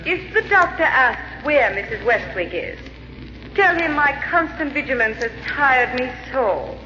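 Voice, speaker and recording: An actress playing a cold, ruthless wife plotting murder in an old-time radio horror drama, commanding and deliberate. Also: female voice, high voice, animated, bright, forceful tone, full character voice. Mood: cold, resolute. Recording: reverberant muffled old radio with hiss.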